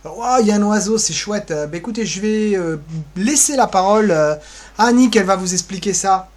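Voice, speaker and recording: High-voiced man rambling through a casual screen tour. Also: brisk. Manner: rambling, offhand, flippant, thinking aloud. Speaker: co-presenter giving a guided tour of social media pages. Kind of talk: casual improvised screen-share presentation.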